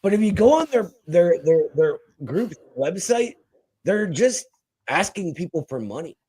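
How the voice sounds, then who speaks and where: A man's voice: high voice, very animated, measured pace, dark tone, breathy edge. A man hosting a live stream in casual chat, informal and often checking in with the listener.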